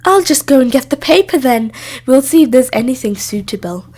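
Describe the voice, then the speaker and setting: A woman's voice: sits high, lively intonation, brisk, darkish tone. A woman voicing a house-proud, insincerely polite female witch in a children's storybook. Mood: eager.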